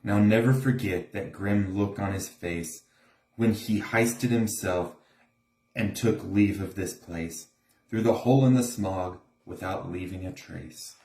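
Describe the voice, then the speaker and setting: A man's voice: low voice, measured pace, a little mellow. A man reading a rhyming storybook aloud in a theatrical, emphatic way. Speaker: storybook reader. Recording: echoey room.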